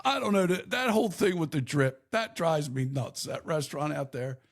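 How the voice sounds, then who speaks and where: A middle-aged man with a slightly rough voice co-hosts a casual podcast. He sits a little high in pitch and rambles through animated stories, laughing as he goes. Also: normal speed. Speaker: podcast co-host. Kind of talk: casual chat.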